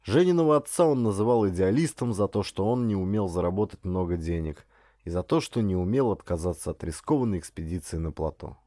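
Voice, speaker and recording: A male actor narrates a literary adventure audiobook in the first person. His voice is on the low side and mellow, with very varied intonation that carries the storytelling. The sound is dry, with no room around it. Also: animated, brisk, dry recording.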